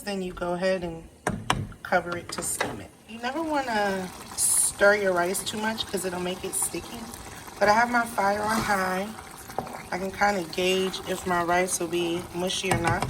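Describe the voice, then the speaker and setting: A woman chats her way through a recipe in a home kitchen, talking to viewers as she cooks one-handed. Her voice sits at an ordinary middle pitch. Clear background noise from the kitchen, with a running tap, stays behind her. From Haitian family background. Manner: chatty, thinks aloud while working. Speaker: home cook showing a recipe. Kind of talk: casual cooking demo.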